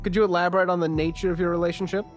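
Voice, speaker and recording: Music runs behind a formal corporate interrogator acted by a man. He questions in a businesslike, probing way, in a somewhat high male voice, for a tabletop game. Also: level tone, very fast, natural voice.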